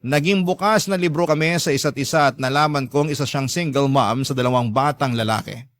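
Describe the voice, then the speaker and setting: A man with a Filipino accent hosting and narrating a scripted dramatic story in a measured, sentimental way. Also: medium pitch, fairly bright tone. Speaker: storytelling host. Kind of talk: scripted dramatic narration, reading a listener's letter.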